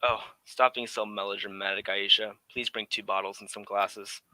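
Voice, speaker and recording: A man's voice: fairly low pitch, fairly even intonation, normal speed, sharp tone, no echo, poor audio. A man playing a breezy, cynical English aristocrat in exile, in a theatrical radio drama manner. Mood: breezy, pleased. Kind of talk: 1940s radio drama acting.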